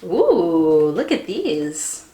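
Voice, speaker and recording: Young woman, children's read-aloud host, high and highly expressive, unhurried, full of wonder, slightly roomy indoor sound. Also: slight background noise. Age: young adult. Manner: exaggerated wonder, sing-song emphasis. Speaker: teacher reading storybooks to children. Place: small room indoors by a window.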